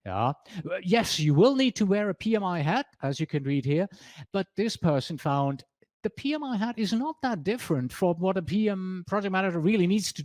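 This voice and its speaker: A man's voice: a little high, darkish tone, no echo. A man from Switzerland with a Swiss German accent, presenting an exam prep lesson in a chatty way, reading quotes from his slides and correcting himself as he goes.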